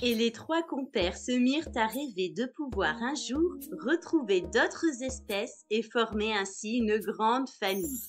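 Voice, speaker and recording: Lively high-voiced woman storyteller. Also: a little slow, few pauses. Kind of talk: scripted children's story narration. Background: music.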